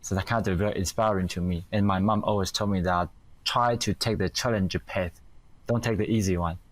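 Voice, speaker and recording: A middle-aged man from Taiwan with a Taiwanese accent, giving halting, reflective interview answers as a guest. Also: low voice, fairly even intonation. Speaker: guest, company founder and engineer.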